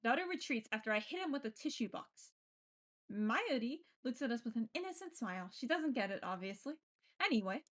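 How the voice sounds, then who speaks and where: A woman's voice: fairly high pitch, expressive, brisk. A woman streaming a visual novel, reading it aloud expressively and breaking off into casual, reactive asides. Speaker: game streamer. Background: game music.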